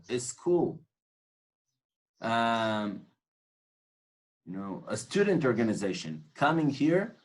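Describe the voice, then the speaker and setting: A man's voice: mid-range voice, poor audio. Man lecturing, earnest and reflective. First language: Hebrew. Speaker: tour guide instructor. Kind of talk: informal lecture on guiding.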